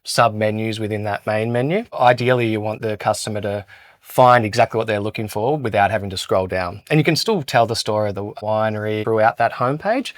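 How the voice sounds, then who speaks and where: A man who co-founded an agency, explaining e-commerce in a relaxed chat with frequent restarts. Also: on the low side, fairly quick, dry recording. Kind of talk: casual podcast chat.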